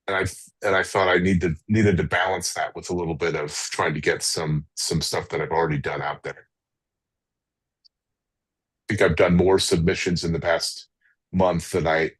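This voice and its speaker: A man with a very low, rough-edged voice chats casually as a poet and translator. He talks about himself in a modest, self-deprecating way. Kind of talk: casual chat.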